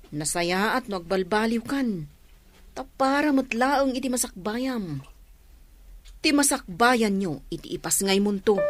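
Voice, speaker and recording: A woman playing a concerned, talkative village woman who gives frank advice in an Ilocano radio drama. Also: fairly high pitch. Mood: earnest. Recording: noisy track with birdsong and clicks.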